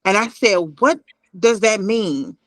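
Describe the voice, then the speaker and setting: A woman with an American accent and a mid-pitched voice tells a personal story in an interview. The sound is dry, picked up by a phone on a video call. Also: animated, slow, no room sound. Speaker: poet and playwright, interview guest.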